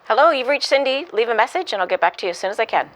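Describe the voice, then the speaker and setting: Woman acting out a very fast, sweet voicemail greeting. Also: a little high, expressive, fairly bright tone. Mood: sweet, friendly. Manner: sweet, friendly.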